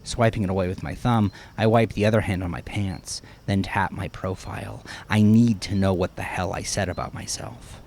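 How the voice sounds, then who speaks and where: A man with a low voice performs first-person dramatic storytelling. He is expressive and acts out each reaction as part of the narration. There is faint noise in the background of the recording. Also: moderate pace, creaky, few pauses. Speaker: author narrating own horror story.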